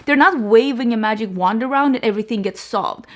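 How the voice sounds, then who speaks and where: A woman's voice: on the high side, brisk. A young woman, talking casually to camera as she reviews books, with lots of fillers and self-corrections. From Sweden. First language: Swedish. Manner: chatty, filler-heavy, frequent restarts and "like". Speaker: book reviewer. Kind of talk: casual book review chat.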